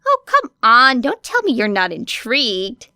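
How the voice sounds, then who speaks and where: A woman's voice: on the high side, huge pitch swings, unhurried, pushed and bright, clear, resonant tone, no echo, clean studio sound, pitched above the performer's own voice, full character voice. A woman voicing an easygoing, teasing male detective in a lifted, friendly voice.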